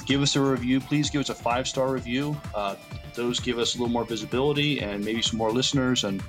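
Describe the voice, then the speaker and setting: A man co-hosting a casual podcast, speaking haltingly with many hesitant pauses. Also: mid-range voice, fairly even intonation. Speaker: podcast co-host. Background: loud music bed.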